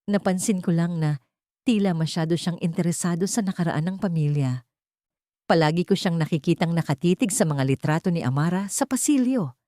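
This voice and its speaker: A woman voicing a scheming woman who feigns gentle concern in an audio story, calm and insinuating. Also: fairly low pitch, a little fast, no room sound, clean studio sound, natural voice. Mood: feigned concern. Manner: calm, insinuating, falsely sweet.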